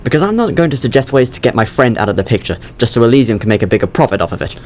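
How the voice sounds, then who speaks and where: An actor playing a nervous, earnest young job candidate in an audio drama, halting and apologetic in his speech. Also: mid-range voice, animated, a little hiss or hum, close to an everyday voice. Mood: earnest, flustered. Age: young adult.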